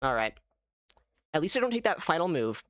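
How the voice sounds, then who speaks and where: A man giving casual live gameplay commentary as a streamer, thinking aloud in an animated way. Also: on the high side, brisk, no echo, clean studio sound. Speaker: gaming streamer.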